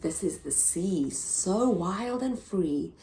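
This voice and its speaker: Slow, sing-song woman reading to kids. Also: lowish voice, lively intonation, vocal fry, dark tone, slight background noise, low-quality recording. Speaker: children's story reader. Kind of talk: reading a picture book aloud.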